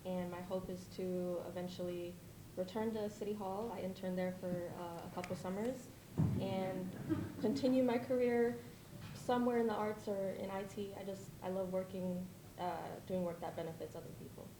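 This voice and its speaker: A woman's voice: mid-range voice, fairly even intonation, normal speed. A woman in her twenties introducing herself as a panelist, earnest and plain. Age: 20s. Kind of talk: self-introduction. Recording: echoey room with background noise.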